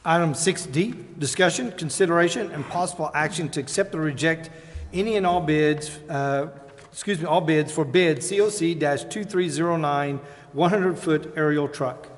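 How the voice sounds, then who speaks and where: A man's voice: fairly high pitch, normal speed. A man chairing a formal meeting, reading out agenda items and procedure in a council chamber. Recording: echoey council chamber, long reverb.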